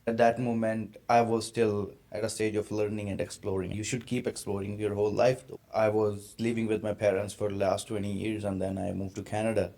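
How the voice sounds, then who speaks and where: A man's voice: lowish voice, little pitch movement, brisk, vocal fry, hardly any pauses, warm tone. A man from India in his late twenties hosting a podcast, asking questions earnestly with searching, non-native phrasing. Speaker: podcast host. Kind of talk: casual interview conversation.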